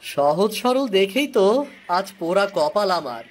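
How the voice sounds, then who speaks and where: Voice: mid-range voice, very animated, measured pace. A performer voicing a poor, simple-hearted woodcutter husband in a cartoon, earnest and plaintive. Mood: self-pitying. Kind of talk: Bengali cartoon voice acting.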